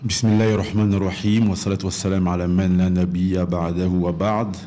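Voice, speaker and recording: A man's voice: deep voice, level tone, a little fast, dark tone. A man in his thirties from northern France telling his own story as a podcast guest, hesitating and repeating phrases as he explains. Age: 30s. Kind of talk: personal storytelling in an interview.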